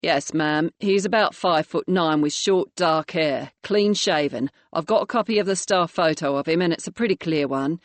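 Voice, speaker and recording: A dutiful male police constable reports back in a low, matter-of-fact voice, as a woman reader deepens her tone for him. The recording is dry and close. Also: normal speed, few pauses, no echo, close to an everyday voice.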